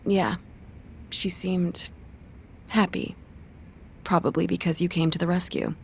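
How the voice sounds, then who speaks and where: An actress playing a frank, lightly teasing colleague in a video game cutscene. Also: female voice, lowish voice, little pitch movement, measured pace, creaky. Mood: knowing, teasing. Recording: faint background noise.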